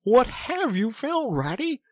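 A narrator voicing an excitable rat from a storybook, brisk and eager, kindly but easily exasperated. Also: mid-range voice, no room sound, voice pushed up. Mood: excited. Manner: eager, urgent, exclamatory. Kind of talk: animated storybook character reading.

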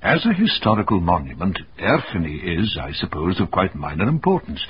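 Reflective gentleman narrator, low register, varied intonation, for radio drama. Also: wildly swooping pitch, brisk. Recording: muffled radio recording, faint noise.

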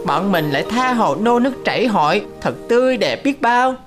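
A woman's voice: mid-range voice, lively intonation, normal speed, close to an everyday voice. A woman voicing a brave, hopeful little squirrel in a cartoon. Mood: dreamy. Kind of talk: cartoon dub. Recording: loud music behind the voice.

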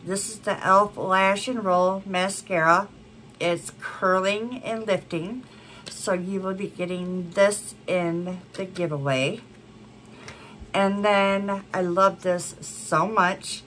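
Slow, congested woman vlogger. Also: medium pitch, steady tone, fairly bright tone, a little hiss or hum. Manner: slow, rambling, many fillers. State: congested from a cold. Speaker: beauty vlogger. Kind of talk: casual chat to camera. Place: home room with a plant wall and neon signs.

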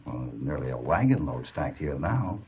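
An American actor playing a calm, confident cowboy hero, easygoing and assured, in vintage radio western style. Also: male voice, rumbling low, normal speed, highs cut off, full character voice. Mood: calm, probing. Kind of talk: 1950s western radio acting. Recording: distant, noisy vintage radio recording.

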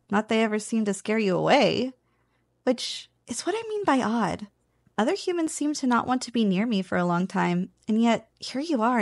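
A woman's voice: mid-range voice, vocal fry, no room sound, studio quality. A woman playing a playful, teasing slime girl roommate in a casual, chatty ASMR roleplay. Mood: amused, candid. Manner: teasing, chatty, conversational with chuckles. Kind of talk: ASMR roleplay, one-sided casual conversation.

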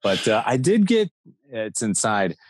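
A man's voice: lowish voice, very animated, measured pace, no echo. A man in his thirties from the Midwest, chatting casually as an interview guest over a video call, rambling with many fillers and restarts. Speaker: guest toy maker and artist. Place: home room with toy shelves.

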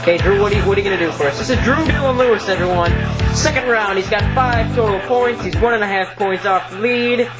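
Excitable home-video announcer, sing-song and hammy, competing with loud techno. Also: female voice, medium pitch, quick pace, loud music behind the voice. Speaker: mock sports announcer. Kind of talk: playful play-by-play commentary in a home video. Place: home basement.

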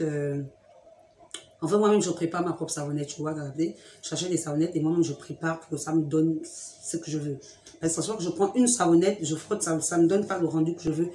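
A young woman with a cold hosting a chatty beauty vlog, talking straight to her viewers in a lively, teasing way, at home. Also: low voice, roomy sound, a little hiss or hum. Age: young adult. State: has a cold. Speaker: beauty vlogger. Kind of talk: chatty direct-to-camera vlog. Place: room at home with a fridge and sofa.

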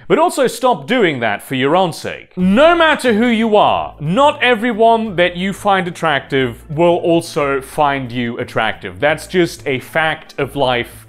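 Loud, animated young man vlogging. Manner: animated, emphatic, wide swings in pitch. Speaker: video essay host. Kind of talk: talking-head advice vlog. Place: home room with bookshelves. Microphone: lapel mic.

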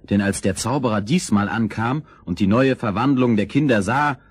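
A man narrating a scripted children's fairy tale as storyteller, in a measured, expressive way. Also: fairly low pitch, husky, no room sound.

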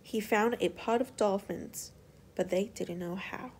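A woman's voice: medium pitch, measured pace, darkish tone, slight background noise. A woman storyteller reading a children's picture book aloud as scripted narration.

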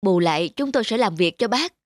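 Woman voicing a humble, mid-pitched cartoon father. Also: animated, fairly quick, lightly acted. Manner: polite, pleading. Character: humble stranded father politely asking for shelter. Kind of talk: cartoon voice acting.

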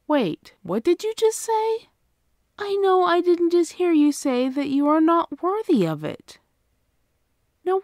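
A woman playing an affectionate, protective, gently bossy girlfriend in an ASMR roleplay, speaking tenderly and coaxingly. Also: high voice, dry recording, clean studio sound, heavily acted. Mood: firm, caring.

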